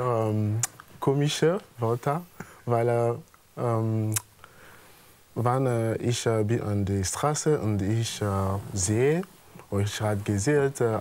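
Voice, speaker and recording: A young man with a French accent talks slowly and haltingly, pausing often to search for words. His voice sits fairly low, and he speaks into a lapel mic as a guest in a TV studio. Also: darkish tone. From Gabon. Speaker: guest, artist. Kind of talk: casual studio chat.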